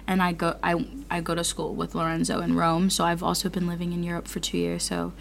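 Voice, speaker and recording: Young woman, from Virginia, student guest, rambling with "like"s, slightly low voice. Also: monotone, creaky, a little hiss or hum. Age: young adult. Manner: rambling, many "like"s and pauses. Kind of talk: casual student chat.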